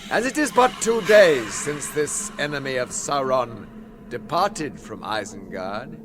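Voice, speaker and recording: An actor playing a cunning, haughty wizard in a radio fantasy drama, with grandiose, silky, theatrical delivery. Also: male voice, medium pitch, very animated, unhurried, background noise. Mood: sly, haughty.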